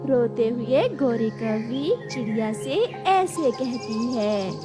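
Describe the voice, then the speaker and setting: A woman's voice: a little high, slow pace. A woman narrating a scripted cartoon story for children. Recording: music behind the voice.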